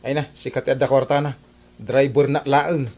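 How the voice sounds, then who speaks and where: A man's voice: medium pitch, level tone, moderate pace, a little mellow, close to an everyday voice. A man with an Ilocano accent acting a blunt, scolding husband worried about money in a comedy radio drama. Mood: exasperated.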